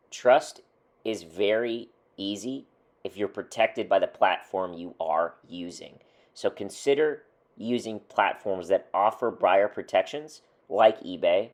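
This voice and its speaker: A man getting over a cold, calmly walking viewers through his rules for a hobby video. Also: fairly low pitch. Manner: steady, instructive, counts off rules. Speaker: trading card hobby channel host. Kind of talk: scripted advice to camera.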